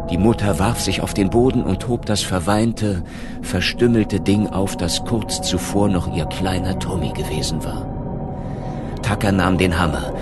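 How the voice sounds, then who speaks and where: A man reading a scripted horror story aloud as a dramatic audiobook narrator. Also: low voice.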